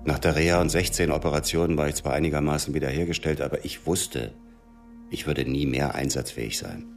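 An actor playing a wounded, grieving former intelligence agent, speaking in a subdued, reflective way in a radio drama. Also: male voice, very deep voice, fairly even intonation, brisk, soft background music. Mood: resigned.

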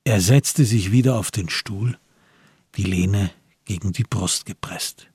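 A man as the sober narrator of a radio crime drama, telling the story in measured literary prose. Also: lowish voice, a little mellow, breathy edge, no echo, clean studio sound, heavily acted.

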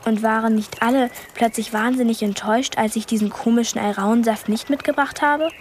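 Actress doing curious young girl heroine, high voice, earnest and a bit hesitant, radio drama. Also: fairly even intonation, brisk, slight background noise, natural voice. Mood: pensive. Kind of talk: radio play acting.

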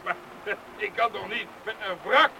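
An actor playing a gruff, decisive lifeboat skipper who barks blunt orders in a radio play. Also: male voice, sits high, moderate pace, bright, forceful tone, full character voice. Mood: blunt banter. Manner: commanding, blunt, barking orders. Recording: noisy outdoor storm sound effects.

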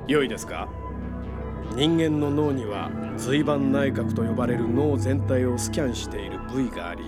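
A man's voice: medium pitch, lightly acted. An actor playing a confident psychotherapist who lectures in technical terms about his invention, in a radio drama. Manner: lecturing, technical, self-assured. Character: confident male psychotherapist explaining his brain-linking invention.

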